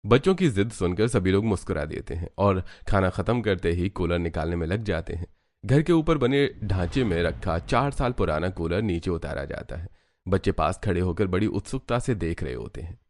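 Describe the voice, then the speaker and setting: Low-voiced man narrating a cartoon story. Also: expressive, normal speed, a little mellow, no echo.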